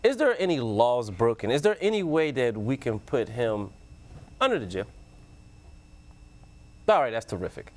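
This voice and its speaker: A man's voice: medium pitch, sing-song, moderate pace, long pauses, darkish tone, slight background noise. A man hosting a joking studio panel chat, teasing his guests and laughing often. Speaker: panel show host.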